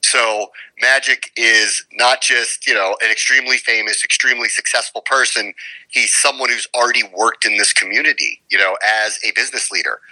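A man's voice: on the low side, normal speed, pushed and bright, low-quality recording. A man giving conversational sports commentary as a radio guest, emphatic and opinionated, often posing rhetorical questions.

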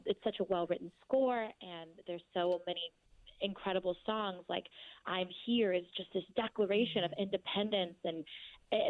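A woman's voice: lowish voice, no room sound. Woman, sincere actress, reflective, phone-quality audio. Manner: earnest and reflective. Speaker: stage actress. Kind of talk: casual interview chat.

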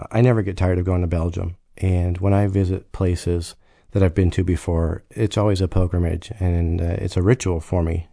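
A man with a low, very dark and mellow voice speaks as a guest expert on a radio show. He answers at an even pace and slips in deadpan jokes. His voice is dry and close to the mic. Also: no echo.

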